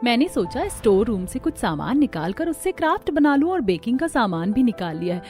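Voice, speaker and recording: Woman voicing an eager young housewife daughter-in-law, high-pitched. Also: sing-song, fairly quick, darkish tone. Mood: earnest, caring. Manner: polite, earnest, explaining. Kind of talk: Hindi cartoon story dialogue. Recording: music behind the voice.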